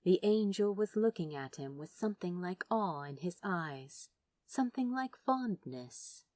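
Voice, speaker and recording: A woman reading fiction aloud as a narrator, dramatic and wry, with deliberate phrasing. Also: lowish voice, animated, a little mellow, no room sound. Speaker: audiobook-style narrator. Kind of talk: expressive scripted fiction narration.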